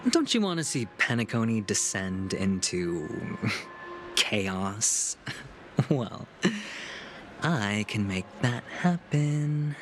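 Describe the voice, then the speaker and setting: Loud music sits under a young schemer in a video game. He is smug and theatrical, drawing out his words with wide, teasing swings in pitch and a slow, grandiose confidence. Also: big pitch swings, heavily acted. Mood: sly, teasing. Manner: teasing, grandiose, drawn-out delivery.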